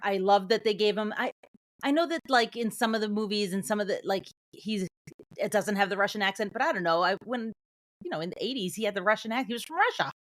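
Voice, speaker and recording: A woman's voice: mid-range voice, quick pace, dry recording, studio quality. A woman co-hosting a podcast, chatting casually and recapping films. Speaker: podcast co-host. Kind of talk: casual film review chat with plot recap.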